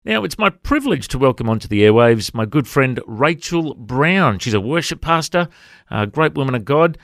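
A man hosts a conversational radio interview. His voice is medium in pitch. It is recorded dry, with hardly any room sound. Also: big pitch swings, normal speed, creaky, no room sound. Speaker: interviewer, host.

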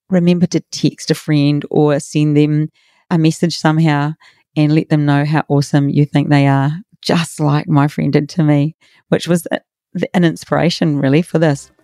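A middle-aged woman with a raspy, hoarse voice, hosting a motivational podcast in an earnest, encouraging way. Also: deep voice, warm tone, no room sound, studio quality. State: hoarse from a workshop. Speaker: podcast host and coach.

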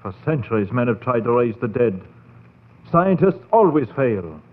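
A man's voice: mid-range voice, big pitch swings, normal speed. An actor playing a sly, proud German doctor in a 1940s radio horror drama, theatrical and insinuating in his emphasis. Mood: sly, tempting. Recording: tinny phone-like 1940s radio recording.

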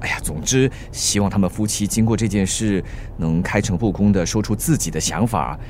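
A man's voice: fairly low pitch, brisk, darkish tone, a little hiss or hum, natural voice. A man with a Singaporean accent playing a practical, reassuring friend in a radio drama, mixing in English words. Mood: consoling. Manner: colloquial, sprinkles English words into speech. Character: level-headed, reassuring male friend, practical peacemaker.

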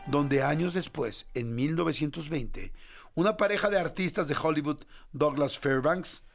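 A man's voice: fairly high pitch, moderate pace, vocal fry, soft background music. A man with a Mexican accent hosting a radio show, talking casually and rambling with playful jokes. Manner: rambling and joking, with playful asides. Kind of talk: casual morning radio chat.

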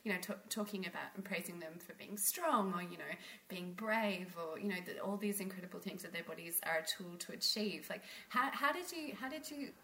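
A woman's voice: lowish voice, lively intonation, a little fast, bright, forceful tone, rough recording. A woman co-hosting a podcast, speaking in an earnest, reflective conversational way over some hiss. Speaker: podcast co-host, interviewer.

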